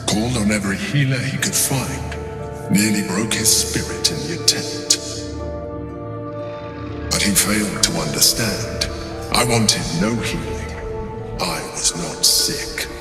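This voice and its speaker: Calm proud mind flayer, deliberate game monologue, very slow pace, echoing reverb. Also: male voice, fairly low pitch. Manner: measured, deliberate, self-justifying. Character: calm, proud, calculating male mind flayer, once an adventurer. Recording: roomy long reverb, music behind.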